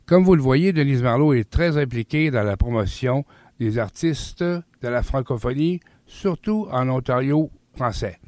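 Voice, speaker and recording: Man, radio host, mid pitch, dry studio mic, friendly questions. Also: expressive, a little slow, a little mellow, no echo. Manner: friendly questioning, some fillers. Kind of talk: radio interview.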